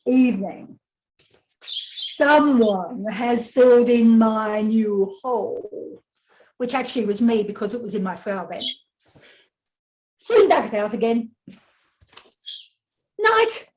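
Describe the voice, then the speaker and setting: An older woman reading aloud as a lazy, demanding wombat, in terse, deliberate diary entries. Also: a little high, rough recording. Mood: matter-of-fact. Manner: terse, deliberate, deadpan self-importance. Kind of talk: picture book read-aloud.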